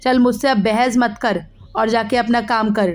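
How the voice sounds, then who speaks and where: A woman voicing a spiteful middle-aged aunt who scolds and bosses in a sharp manner, in a moral story. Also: a little high, fairly even intonation, unhurried, lightly acted. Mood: scolding.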